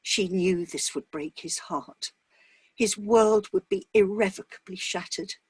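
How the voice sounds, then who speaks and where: A woman's voice: mid-range voice, unhurried, breathy edge, dry recording. A woman reading a dramatic short story as scripted narration.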